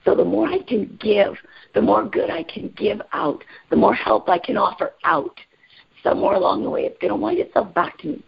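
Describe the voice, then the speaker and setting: A woman with a raspy voice today, leading a motivational group call in an upbeat, energetic way and asking the group questions. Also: medium pitch, moderate pace, highs cut off, background noise. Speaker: team call leader.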